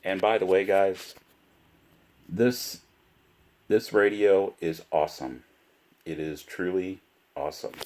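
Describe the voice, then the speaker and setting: A man giving a casual, unscripted product demonstration, speaking deliberately and repeating himself for emphasis. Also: low voice, steady tone, darkish tone. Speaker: product reviewer.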